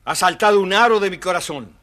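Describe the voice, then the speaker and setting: A man's voice: sits high, brisk, fairly bright tone. A man playing a devoted, cheerful royal coachman in a children's audio storybook, emphatic and deferential. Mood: devoted, happy.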